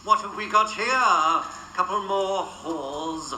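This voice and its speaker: A man's voice: high voice, takes it slowly, very noisy. A male actor playing a contemptuous, commanding prison warden of Tudor London in a radio drama. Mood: contemptuous, stern. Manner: sneering, commanding.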